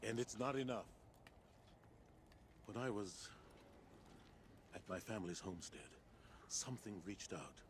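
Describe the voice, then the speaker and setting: A man's voice: lowish voice, a little slow, pauses often, a little hiss or hum, close to an everyday voice. A man playing a grieving, stubborn military commander in a video game, grave and blunt in delivery. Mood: grim. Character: grim, grieving older military commander, male, bitter and stubborn. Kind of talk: dramatic video game dialogue.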